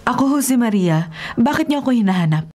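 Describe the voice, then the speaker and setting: A woman's voice: on the high side, expressive, fast, a little mellow. A woman acting in a Filipino radio drama as a remorseful, humble middle-aged mother with a pleading, sorrowful manner. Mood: humble, hesitant.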